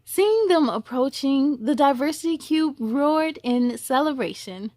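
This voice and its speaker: A woman's voice: sits high, lively intonation, unhurried, warm tone, no room sound. A woman hosting a storytime, reading a children's book aloud expressively with chatty, encouraging asides.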